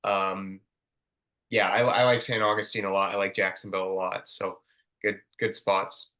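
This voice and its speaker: A young man hosting a podcast, casual and relaxed, with slangy words and many ums. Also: low voice, moderate pace. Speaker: podcast host. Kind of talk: casual interview chat.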